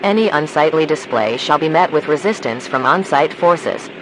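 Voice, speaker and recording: A man playing a calm, official emergency broadcast announcer, reading scripted alerts in a measured, formal way. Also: fairly high pitch, noisy background, close to an everyday voice. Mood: urgent, official.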